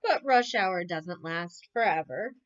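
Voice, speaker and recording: A woman reading children's bedtime stories aloud in an expressive storytelling manner, with exclamations and asides. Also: fairly low pitch, takes it slowly, highs cut off. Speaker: camp unit head reading bedtime stories. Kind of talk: animated picture-book read-aloud for children.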